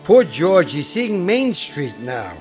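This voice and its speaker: A man playing a gentle, bumbling elderly male guardian angel who stammers and fusses, in a radio play with faint music behind. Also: high voice, big pitch swings, slow pace, exaggerated voice. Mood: earnest. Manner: fussy, hesitant, stammering.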